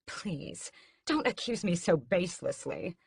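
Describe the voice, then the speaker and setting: A woman's voice: mid-range voice, sing-song, unhurried, dry recording, voice pushed up. Brief: a woman doing a centuries-old vampire queen, haughty and scheming, cool drawl, close dry read. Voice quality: cool, regal, mocking drawl. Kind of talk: audiobook character voice.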